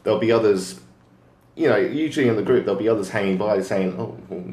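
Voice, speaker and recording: A man with a fairly low voice chats in a loud, relaxed way about his work as a magician, laughing easily. The room around him is bare and echoey. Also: fast talker. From Hong Kong, to British parents. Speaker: close-up magician guest. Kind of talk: casual interview chat.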